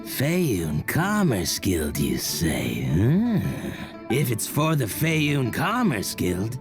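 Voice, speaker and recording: An actress playing a businesslike guild clerk in a video game, speaking in a deliberate, drawn-out way. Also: female voice, rumbling low, huge pitch swings, over music, full character voice. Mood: measured, businesslike.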